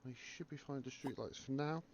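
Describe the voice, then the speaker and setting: A man with a croaky voice from a cough, calmly commentating a game and thinking aloud with many pauses. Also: medium pitch, dull audio, slight background noise. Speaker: gaming video creator. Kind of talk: relaxed gameplay commentary.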